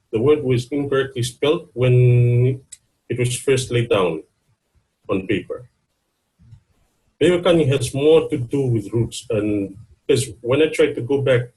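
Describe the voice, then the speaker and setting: Husky, slightly shaky Fijian man. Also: mid-range voice, a little mellow, low-quality recording. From Fiji, Lau islands. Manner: hesitant, pausing mid-phrase. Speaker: guest speaker, university student. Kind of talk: informal talk to an online gathering. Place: online video meeting.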